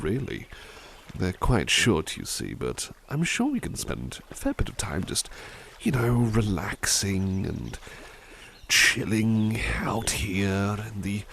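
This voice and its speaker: A man voicing a kind, polite butler in an ASMR roleplay, speaking softly and formally and reciting poetry with dramatic flair. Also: on the low side, creaky, slight background noise, full character voice. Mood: gentle, chatty. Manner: polite, formal and soft-spoken; dramatic, lilting recitation of verse. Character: gentle, kindly adult male butler.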